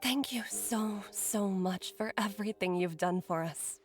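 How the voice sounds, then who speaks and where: An actress voicing a grateful wife and mother in a video game, soft and sincere. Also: medium pitch, unhurried, distant mic, soft background music, close to an everyday voice.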